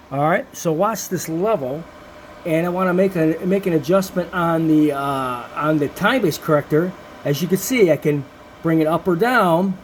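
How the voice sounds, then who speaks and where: A man's voice: on the high side, slight background noise. A man giving a casual, rambling and enthusiastic walkthrough of equipment, like a technician showing his setup. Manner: rambling, enthusiastic hands-on explaining.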